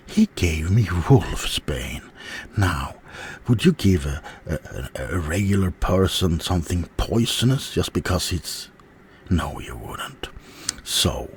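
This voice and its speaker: An offended, sulky werewolf, acted out by a man for an ASMR roleplay, speaks in an extremely deep voice. He is halting and wounded, often sinking into a whisper. The pace drags, and some noise sits behind the voice. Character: offended, sulky adult male werewolf being interviewed. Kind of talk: ASMR character roleplay monologue.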